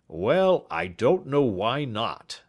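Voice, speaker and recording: A man voicing a blunt, jovial veteran police inspector with a loud, joking manner. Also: mid-range voice, very animated, slow, voice pushed up.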